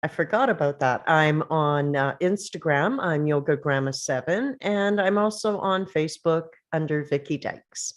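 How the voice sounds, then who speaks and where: A woman's voice: sits low, normal speed, few pauses. An older woman, an actress introducing herself casually. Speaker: actress, table read participant.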